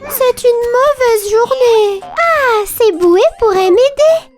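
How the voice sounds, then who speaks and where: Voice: very high voice, slow. A performer voicing a plucky, sassy little animal girl in a children's cartoon, with emphatic exclamations. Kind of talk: children's cartoon rhyming dialogue. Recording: music behind.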